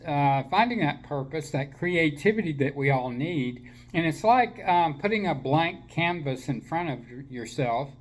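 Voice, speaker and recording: A man giving a slow, gentle spiritual talk to listeners while he has a slight cold, with many fillers and restarts. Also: fairly high pitch. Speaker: spiritual teacher.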